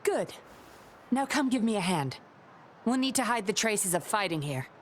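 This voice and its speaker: An actress playing a cool, sly undercover woman officer in a video game, composed, teasing and smooth-talking. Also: medium pitch, very animated, normal speed, a little hiss or hum, close to an everyday voice. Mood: teasing, commanding.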